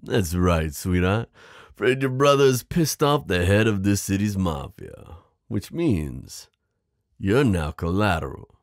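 A man voicing a smooth, possessive mafia boss in an ASMR roleplay, menacing yet protective, teasing the listener with pet names. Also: sits low, expressive, slow, no echo, clean studio sound, heavily acted. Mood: smug menace. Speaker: audio roleplay performer. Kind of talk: ASMR roleplay drama acting.

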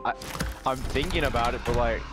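A man's voice: medium pitch, fairly quick. A man playing an online board game, hesitating and laughing at himself on a voice call. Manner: hesitant, self-mocking, laughing. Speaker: online player. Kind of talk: casual chat over voice call. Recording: loud game music behind voice. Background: game music.

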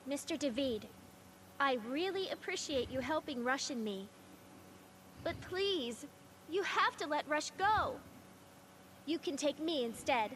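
A woman's voice: high voice, expressive, a little slow, long pauses, fairly bright tone, slight background noise. A woman playing an anxious, earnest teenage girl in a fantasy video game, with a pleading manner. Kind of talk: video game cutscene acting.

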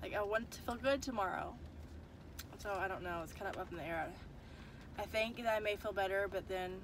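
A woman's voice: mid-range voice, noisy background. Congested young woman vlogging from a car. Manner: chatty, rambling, tired. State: congested from a cold. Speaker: family vlogger. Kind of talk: casual chat to camera. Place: inside a parked car. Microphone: phone camera at arm's length.

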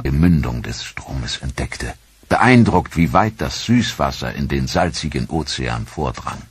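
A man narrating a history documentary in a measured, sober manner. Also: low voice, breathy edge, dry recording. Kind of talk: scripted narration. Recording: dry studio voice-over.

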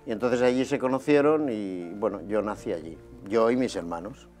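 A man with a fairly low voice, in his fifties and from Barcelona, talks easily about his past as a studio guest. His delivery is relaxed and conversational, picked up on a lapel mic, with faint music underneath. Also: normal speed. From Barcelona, Spain. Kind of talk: telling his life story. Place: small TV studio set.

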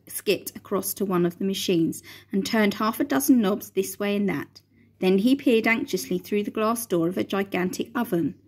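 A middle-aged woman teacher reading a children's book aloud in a lively, expressive way, recorded on a phone in a large indoor room. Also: medium pitch, husky. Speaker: primary school teacher. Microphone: phone front camera at close range.

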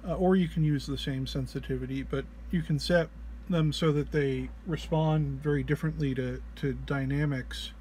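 A man who is under the weather gives an informal tutorial walk-through, explaining haltingly and hesitantly. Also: a little high, fairly even intonation. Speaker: presenter of a gear walk-through.

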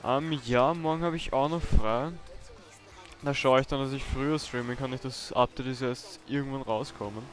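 A man's voice: mid-range voice, fairly even intonation, moderate pace, faint music behind. A man with a German dialect chatting casually as a guest streamer, laid-back and chuckling. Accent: dialect-tinged German. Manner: laid-back, chuckling, spells out his name. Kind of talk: casual gaming chat.

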